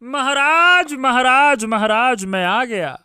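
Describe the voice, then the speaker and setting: A man's voice: extremely high pitch, animated, slow, bright, forceful tone, clear, resonant tone, no room sound, full character voice. A man voicing a fawning, scheming royal minister in a cartoon story, stammering and wheedling as he talks. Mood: coaxing. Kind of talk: Hindi cartoon story voice acting.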